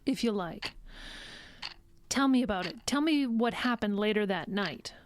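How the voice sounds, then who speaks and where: An actress playing a composed, skeptical psychologist who questions in short, insistent phrases in an audio drama. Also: female voice, medium pitch, lively intonation, measured pace, slight background noise, close to an everyday voice. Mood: probing, composed.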